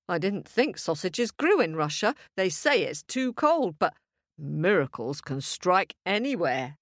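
A woman's voice: on the low side, sing-song, a little slow, no echo, clean studio sound. A woman voicing a mild, folksy farmer husband in a storybook tale, a little hesitant. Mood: wise, wondering. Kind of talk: storybook character voice.

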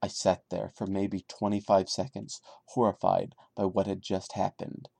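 A man voicing the horrified young male narrator of a creepypasta, reading it dramatically in a grave, deliberate way. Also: deep voice, completely flat delivery, vocal fry, a little mellow, no echo, studio quality, voice pushed down.

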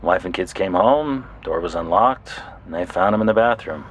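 Lowish, clipped police detective. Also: male voice, animated, moderate pace, slight background noise. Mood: matter-of-fact. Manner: clipped, businesslike. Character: matter-of-fact male police detective. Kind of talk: audio drama acting.

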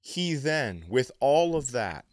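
A man telling casual, animated stories as a comedian on a podcast, often profane and easily amused. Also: medium pitch, slow, no echo, clean studio sound. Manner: acts out other people's quotes.

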